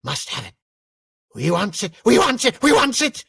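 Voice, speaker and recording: A man voicing a small, dark, greedy scheming creature in a dramatized reading, with a raspy, creaky voice. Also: high-pitched, huge pitch swings, moderate pace, pitched above the performer's own voice, full character voice. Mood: craving, sly.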